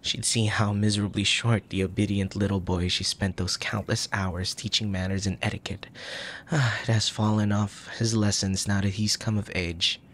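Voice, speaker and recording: A man playing a soft, tender young crown prince in an intimate ASMR roleplay, speaking formally with a gentle, hesitant manner and a troubled air. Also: on the low side, little pitch movement, normal speed, slight background noise, natural voice. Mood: apologetic, troubled. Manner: formal old-fashioned wording, gentle, hesitant.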